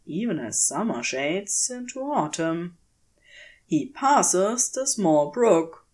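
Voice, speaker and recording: A woman reading a story aloud as scripted narration, in a measured, expressive storytelling manner. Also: on the low side. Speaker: audiobook narrator, podfic reader.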